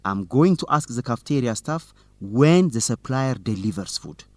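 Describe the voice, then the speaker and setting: A man's voice: medium pitch, lively intonation, moderate pace, dry recording, natural voice. An actor playing a determined, teasing young male student reporter in an educational radio drama, in plain, simple sentences. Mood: determined, suspicious.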